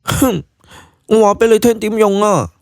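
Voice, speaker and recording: A woman playing a bashful yet bossy young witchcraft expert in a Cantonese audio drama, expressive and huffy. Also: medium pitch, a little slow, dry recording, studio quality, lightly acted.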